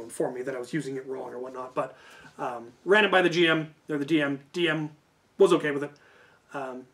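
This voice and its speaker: A man's voice: fairly high pitch, a bit bright. A man telling stories casually as a video host, in an informal, hedging way that often corrects itself. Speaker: YouTube host. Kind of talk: casual rambling storytelling.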